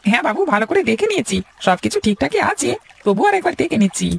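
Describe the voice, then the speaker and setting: A man's voice: extremely high pitch, big pitch swings, fast, gravelly, slight background noise, voice pushed up, exaggerated voice. A man voicing an obedient, deferential boat servant in a Bengali cartoon. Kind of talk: Bengali cartoon story dubbing.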